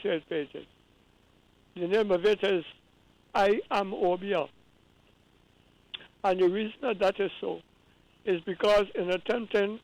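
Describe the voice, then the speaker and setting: A man's voice: high-pitched, gravelly, a little mellow, no room sound, low-quality recording. A man from Guyana calling in to a radio talk show, explaining at length with earnest repeated restarts. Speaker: caller.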